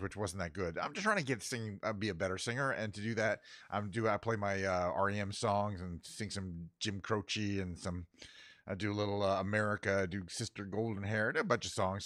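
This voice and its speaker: Rambling man with a lowish, dry voice. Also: fairly quick, fairly bright tone, no echo. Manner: rambling, self-correcting, muttered asides. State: voice a bit worn from travel. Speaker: livestream host. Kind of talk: casual stream sign-off chat.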